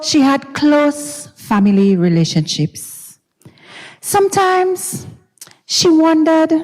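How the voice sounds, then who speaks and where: Very slow, expressive woman storyteller in hall. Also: fairly high pitch. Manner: deliberate, theatrical, with pauses and repetition. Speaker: dramatic reader. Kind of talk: dramatized literary storytelling. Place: university recital hall. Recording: recital hall with long reverb.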